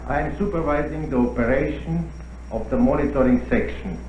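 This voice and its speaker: Halting man in an echoey room. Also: medium pitch. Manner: halting, searching for words. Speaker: monitoring section supervisor. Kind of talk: unscripted explanation. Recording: roomy, dull old film sound with hiss.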